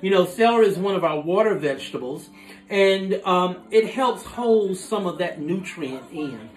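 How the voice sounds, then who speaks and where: A man in his forties hosting a home cooking demonstration, explaining each step in a relaxed, instructive way as he works at his kitchen counter. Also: high-pitched, normal speed, roomy sound, low-quality recording. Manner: relaxed, instructive, talks while working with his hands. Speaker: cooking show host. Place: home kitchen with dining room behind, indoors.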